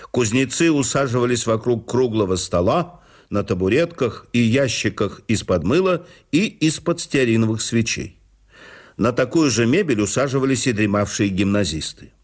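A man narrating a memoir in a measured storytelling manner for a radio production. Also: mid-range voice. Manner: measured storytelling with lively intonation.

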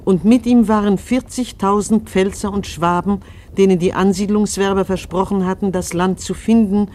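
A man playing a solemn chronicler, reciting a family history in measured scriptural style for a radio play. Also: high voice, steady tone, darkish tone, natural voice.

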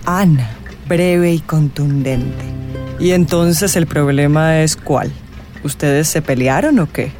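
An actress with a Colombian accent playing a mysterious, self-assured tarot reader in a radio drama, theatrical and sardonic. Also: female voice, sits low, slow, darkish tone, soft background music. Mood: probing, amused.

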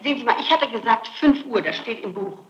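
High-voiced woman insisting under interrogation. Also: lively intonation, quick pace, echoey room, highs cut off. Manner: insistent, pleading, emphatic denials. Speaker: suspect under police interrogation. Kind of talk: interrogation, answering accusations. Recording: archival interrogation tape.

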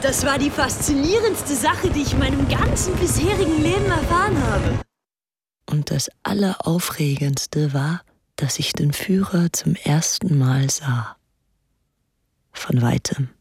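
A woman's voice: fairly high pitch, unhurried. An actress playing a rapturous, fanatical young English socialite of the 1930s in a gushing, girlish radio drama style. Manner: gushing, girlish, theatrically excited. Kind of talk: radio drama acting, breathy diary monologue. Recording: music behind the voice. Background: music.

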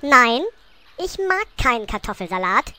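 A woman's voice: on the high side, sing-song, measured pace, pushed and bright, full character voice. A woman playing a bossy, scheming little gnome queen in a comic audio play, sassy and exasperated.

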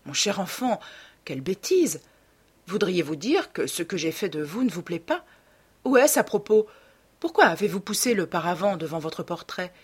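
A woman's voice: on the low side, very animated, moderate pace, husky. A woman voicing an affable, devoted male painter in a novel, courteous, earnest and questioning. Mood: puzzled, affable.

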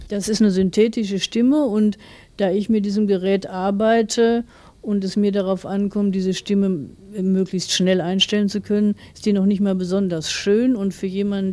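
Female interviewee, forties, writer, soft dark tone, medium pitch, explanatory talk. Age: 40s.

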